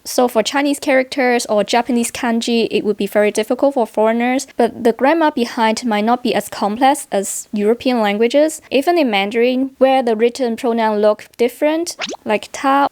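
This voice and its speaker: A woman from Hong Kong, a native Cantonese speaker with a Hong Kong accent, talking to camera in a measured, deliberately careful way. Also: on the high side, a little mellow, no echo. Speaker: vlogger. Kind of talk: personal vlog talk to camera.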